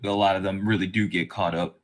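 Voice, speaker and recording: A man's voice: sits low, little pitch movement, fairly quick. A man hosting a casual podcast chat, talking in a rambling way that circles around his points. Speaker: podcast host.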